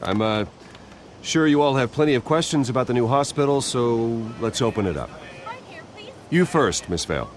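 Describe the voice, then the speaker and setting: An American man playing a brooding billionaire heir in a video game, speaking to the press in a measured, halting, earnest way. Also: mid-range voice, expressive, slight background noise, natural voice. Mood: composed, earnest. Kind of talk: video game dramatic acting.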